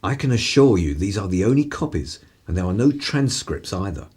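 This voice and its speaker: Actor as a low, husky, sardonic English broadcaster. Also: male voice, very animated, brisk, a little mellow. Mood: sly, confiding. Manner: sardonic, theatrical, upper-class 1930s turns of phrase. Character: cynical, irreverent 1930s English male broadcaster, world-weary and mischievous. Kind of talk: radio play acting.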